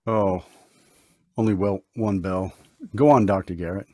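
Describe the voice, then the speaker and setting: An actor playing a calm, kindly, mysterious doctor in an old-time radio drama, speaking gently and reassuringly. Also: male voice, deep voice, unhurried, no echo, studio quality. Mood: calm, polite.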